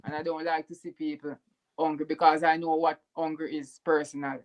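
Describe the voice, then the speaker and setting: A man's voice: on the high side, level tone, a little fast, a little hiss or hum, poor audio. A Jamaican man speaking in an earnest, heartfelt way as a guest in a casual chat, sometimes repeating words. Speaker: YouTuber guest.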